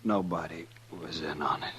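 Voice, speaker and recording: An actor playing a dying, wounded outlaw in a 1950s radio western, with a weak, husky, halting voice. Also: male voice, a bass voice, sharp tone, a little hiss or hum. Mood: weak, resigned. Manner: halting, faltering. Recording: vintage 1950s radio recording.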